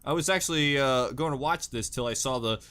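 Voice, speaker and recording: A man's voice: medium pitch, fairly quick, sharp tone, smooth. A man hosting a reaction video with casual, joking, exaggerated and profane commentary.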